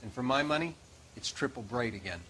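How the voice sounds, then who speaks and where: An actor playing a dry, matter-of-fact medical examiner at a crime scene in a video game. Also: male voice, medium pitch, lively intonation, a little fast, pushed and bright, slight background noise, low-quality recording. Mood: clinical, dry. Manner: clinical, dry. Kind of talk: video game cutscene acting.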